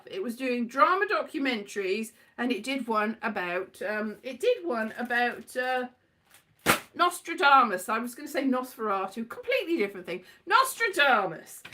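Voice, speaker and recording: High-pitched, animated woman, chatting casually. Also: slight background noise. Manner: scatterbrained, giggly, self-deprecating. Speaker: performer and host, former journalist. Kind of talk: casual rambling monologue.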